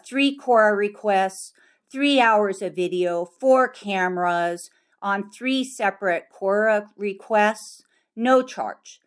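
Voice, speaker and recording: Insistent woman arguing her case. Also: medium pitch. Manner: insistent, repeats phrases for emphasis. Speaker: member of the public requesting records. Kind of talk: phone dispute, argumentative talk.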